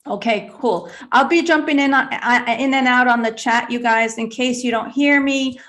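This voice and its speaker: A woman's voice: on the high side, moderate pace, fairly bright tone. A woman from Egypt who grew up in the US, hosting a casual health livestream in an upbeat, chatty way with many fillers. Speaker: livestream host, health speaker. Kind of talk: casual livestream talk, reading out chat names.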